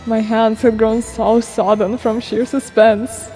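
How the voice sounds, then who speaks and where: A woman's voice: a little high, little pitch movement, slow, warm tone, big-room sound, low-quality recording. A woman acts out a lordly vampire father in a fantasy game dub. The speech is archaic and theatrical, weary with dignity yet boyishly keen on tales. Music plays under the lines. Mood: eager, haughty. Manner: archaic, formal, theatrical diction. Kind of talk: dramatic game fandub acting.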